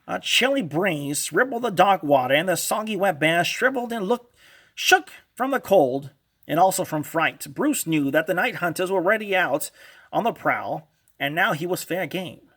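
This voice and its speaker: Male read-along narrator, high-pitched, bright, animated and theatrical storytelling for kids. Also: normal speed. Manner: dramatic, expressive.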